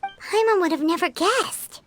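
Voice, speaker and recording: A woman voicing a small, childlike, perky and whiny fairy companion in a video game, with a squeaky voice. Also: a little slow, a little hiss or hum. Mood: curious. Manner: speaks of herself in third person. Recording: loud music under the voice.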